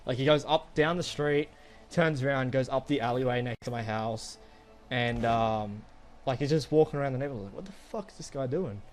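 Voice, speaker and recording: A man's voice: mid-range voice, brisk. A young man casually telling a rambling, profanity-laden personal story to friends. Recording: music behind the voice. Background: background music.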